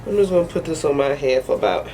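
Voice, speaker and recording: A woman's voice: low voice, lively intonation, fast talker, mellow, soft background music, low-quality recording. A woman giving a casual hair tutorial, chatty and joking, sniffly with a head cold. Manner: chatty, joking, talks to herself. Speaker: hair vlogger.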